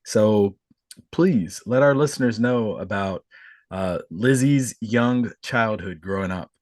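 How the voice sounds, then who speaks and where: A man hosting a podcast, relaxed and conversational, introducing his guest. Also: on the low side, slow pace, no room sound, studio quality. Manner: relaxed, conversational, easy fillers. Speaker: podcast host. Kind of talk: casual interview.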